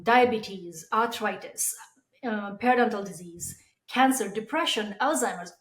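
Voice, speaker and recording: Nepali-accented woman explaining, in a roomy space. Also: medium pitch. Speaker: periodontist guest. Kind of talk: explanatory interview talk. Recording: roomy, echoey sound.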